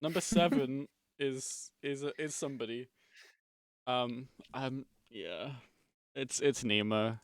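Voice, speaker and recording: Young host fumbling through the running order. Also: male voice, mid-range voice, dry recording. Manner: halting, with many um and uh. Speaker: event host. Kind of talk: casual announcing.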